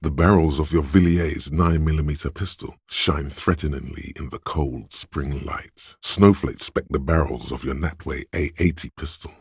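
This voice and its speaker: A solemn, dryly wry narrator inside a detective's head in a video game. The voice sits extremely low and is thick with husky gravel. It moves in measured, literary phrases with deliberate pauses, recorded very dry and close. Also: male voice, fairly even intonation, dry recording, heavily acted. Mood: grave, deliberate. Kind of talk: scripted game narration, second person.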